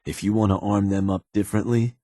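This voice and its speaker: Man acting as a husky, low-voiced raider strategist. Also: steady tone, fast talker, dark tone, no room sound, clean studio sound, natural voice. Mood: businesslike. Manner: laid-back, businesslike, colloquial delivery with clipped phrases. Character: gruff, shrewd male raider strategist advising his gang boss. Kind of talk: video game character dialogue.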